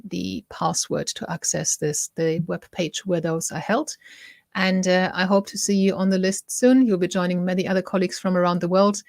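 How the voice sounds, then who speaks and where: German-accented woman, low dry voice. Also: vocal fry, a little mellow, no echo. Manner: chatty, self-interrupting, asks viewers for opinions. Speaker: host. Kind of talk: casual chat to camera.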